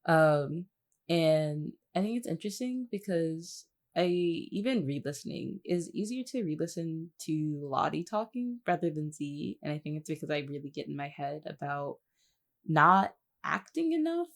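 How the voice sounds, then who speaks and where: A woman's voice: low voice, big pitch swings, normal speed. A woman co-hosting a casual podcast discussion, talking in a hesitant, self-deprecating way. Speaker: voice actor and podcast co-host. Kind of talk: casual chatty behind-the-scenes discussion.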